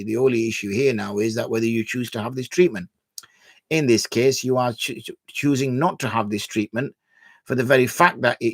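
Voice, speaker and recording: A man, an Islamic scholar, answering viewer questions on religious rulings in a measured, patient way, explaining with everyday examples. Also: mid-range voice, no room sound, studio quality.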